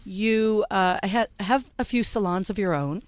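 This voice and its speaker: A woman hosting a radio panel show, introducing her guests in a measured way with plenty of ums and uhs. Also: medium pitch. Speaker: radio talk show host. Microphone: studio microphone.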